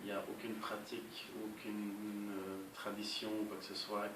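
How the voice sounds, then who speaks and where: A young man from Belgium, answering interview questions in a calm, measured way with frequent hesitations. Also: lowish voice. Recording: echoey room, voice far from mic, noisy.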